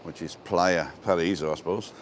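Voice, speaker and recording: A man's voice: low-pitched, moderate pace. A man from England with a British accent, chatting casually and jokily as he hosts a rambling live walking tour and answers viewers. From born in England, lived in Wales and abroad. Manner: rambling, jokey. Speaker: travel vlogger and livestream host. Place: outdoors, walking along a seaside promenade. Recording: noisy outdoor street and seafront ambience.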